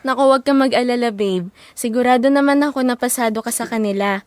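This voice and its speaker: A woman's voice: fairly high pitch, fast talker, no room sound, close to an everyday voice. An actress playing a sweet, trusting young girlfriend in an audio drama. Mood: affectionate.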